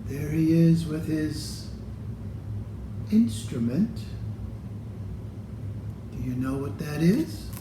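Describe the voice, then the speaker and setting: A man reading a children's picture book aloud in a deliberate manner, pausing between phrases. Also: on the high side, animated, noisy background. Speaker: storybook reader. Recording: roomy, echoey room sound.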